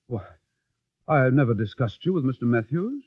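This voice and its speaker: An actor playing an exacting theatrical voice teacher in a vintage drama, speaking in a formal, instructive way. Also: male voice, mid-range voice, big pitch swings, a little fast, breathy edge, highs cut off. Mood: earnest, guarded. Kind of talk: vintage film or radio drama acting.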